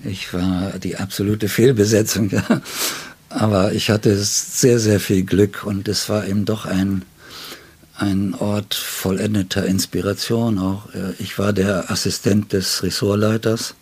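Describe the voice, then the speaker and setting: A man's voice: lowish voice, level tone, unhurried, a little mellow, breathy edge. An older man from northern Germany, a writer in an interview, telling stories reflectively in ornate wording with frequent little question tags. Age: 60s or older. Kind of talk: reflective conversational storytelling. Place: indoors at his home.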